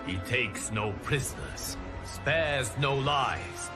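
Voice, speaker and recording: Man acting a slow, solemn timeline guardian narrator. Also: medium pitch, over music, close to an everyday voice. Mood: grave, foreboding. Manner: solemn, formal, portentous, deliberate. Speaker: game cutscene narrator. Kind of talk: scripted dramatic narration.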